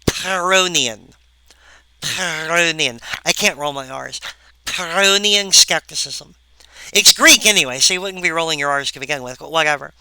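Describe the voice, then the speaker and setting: A woman giving a recorded philosophy lecture, halting and full of wry asides to the viewer. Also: deep voice, sharp tone, clean tone, rough recording.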